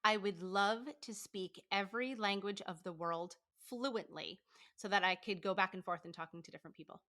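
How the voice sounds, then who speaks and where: A woman's voice: medium pitch, pushed and bright. A woman in her thirties, a podcast guest talking casually, full of likes and fillers. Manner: chatty, lots of likes and fillers, quick laughs. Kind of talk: casual interview chat.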